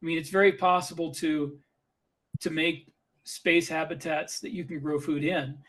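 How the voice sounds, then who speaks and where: A man's voice: fairly high pitch, steady tone, normal speed, a bit bright. A man co-hosting a podcast, explaining his opinions casually and emphatically, often repeating phrases as he argues. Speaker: podcast co-host and author.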